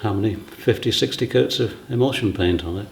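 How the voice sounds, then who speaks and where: A man's voice: on the low side, brisk, creaky. A man explaining things casually in an interview, recorded in an echoey room. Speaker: theatre member being interviewed.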